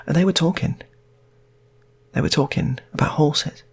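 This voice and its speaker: A man's voice: fairly high pitch, normal speed, gravelly, slightly rough. A British man improvising a casual comic story in a rambling, repetitive way.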